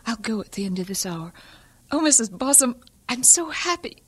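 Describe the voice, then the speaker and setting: A woman's voice: fairly high pitch, lively intonation, moderate pace, noisy background, close to an everyday voice. A woman playing a timid, lovestruck young missionary in an audio drama, earnest and hesitant. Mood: excited.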